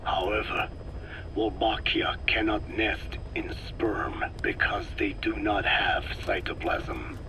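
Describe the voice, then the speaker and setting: A man's voice: fairly low pitch, level tone, pushed and bright, rough recording. Whispering, frail old male scientist. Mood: patient, explaining. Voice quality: breathy, whispering, weak rasp. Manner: slow, deliberate, precise, scientific. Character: frail old male scientist explaining his work, measured and grave. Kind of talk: dramatic game voice acting, expository dialogue.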